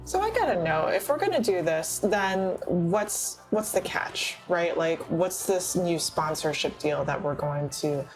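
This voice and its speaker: A woman's voice: fairly low pitch, animated, unhurried, hardly any pauses, faint music behind, poor audio. A woman improvising conversation as a player in a tabletop roleplaying game.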